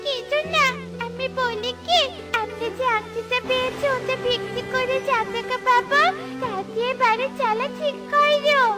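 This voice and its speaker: An innocent little bird girl from a cartoon fairy tale, extremely high and childlike. She sounds earnest and plaintive, eager to be believed, with music clearly behind her. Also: fairly even intonation, measured pace.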